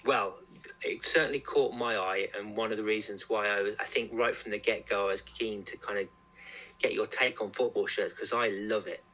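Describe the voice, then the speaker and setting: A man's voice: lowish voice, fairly even intonation, normal speed, bright, forceful tone, rough recording. A man hosting a podcast, asking questions in long, rambling, enthusiastic runs. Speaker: podcast host. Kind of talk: casual podcast interview.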